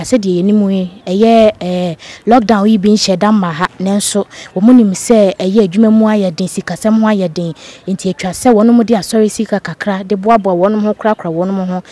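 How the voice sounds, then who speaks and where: A young Ghanaian woman reports to camera outdoors, holding a handheld mic. Her voice is mellow and warm, and she keeps up a brisk pace with small fillers. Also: mid-range voice. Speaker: field reporter. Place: outdoors beside a building. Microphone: handheld microphone.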